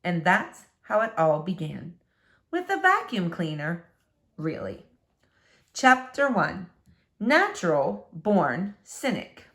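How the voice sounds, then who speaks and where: A woman reading a children's book aloud as a storyteller, with expressive, dramatic emphasis. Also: fairly low pitch, slow.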